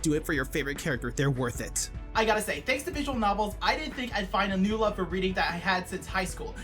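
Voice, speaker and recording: Fast talking woman doing a gaming video essay, playful and informal, music in the back. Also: mid-range voice, fairly bright tone. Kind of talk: scripted narration of a video game essay. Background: background music.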